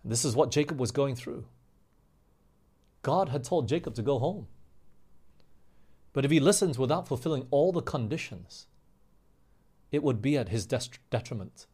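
A man preaching a sermon in an emphatic way, slowing down on key phrases and putting rhetorical questions to his listeners. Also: mid-range voice, expressive, dark tone, husky. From grew up overseas in England, Australia and the US.

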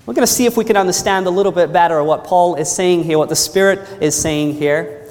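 A man's voice: high-pitched, fairly quick, a little mellow. Earnest preacher man in a reverberant hall. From New Zealand. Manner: earnest, repeats phrases for emphasis, asks the audience "Amen?". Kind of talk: sermon.

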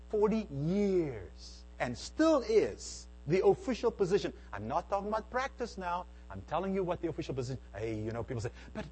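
A middle-aged man from South Africa giving an emphatic church talk, swinging between hushed and loud. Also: mid-range voice, a little hiss or hum, low-quality recording. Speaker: speaker at a Bible Q and A. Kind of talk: lecture-style church talk.

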